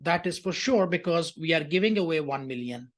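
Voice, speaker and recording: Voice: mid-range voice, lively intonation, fairly quick. Accounting tutor explaining step by step. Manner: didactic, often checks understanding with "okay?". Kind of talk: explanatory lecture working through an exam question.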